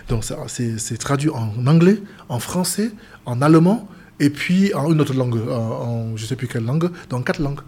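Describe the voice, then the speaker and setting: A man's voice: medium pitch, animated, moderate pace, darkish tone, husky. A man from Cameroon, a seamen's chaplain and pastor, speaking earnestly as an interview guest and often restarting his phrases. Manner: earnest, repetitive, stumbles and restarts.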